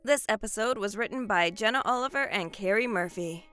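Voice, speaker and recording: A woman's voice: medium pitch, brisk, pushed and bright, no echo, soft background music. A woman announcer giving a scripted reading of the closing credits.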